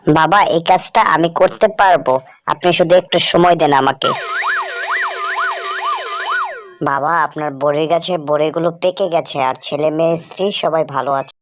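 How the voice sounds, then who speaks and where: A woman's voice: low voice, brisk, dry recording, studio quality, close to an everyday voice. A woman playing a dutiful, reassuring village wife who is eager to please, in a Bangla cartoon. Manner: deferential, reassuring.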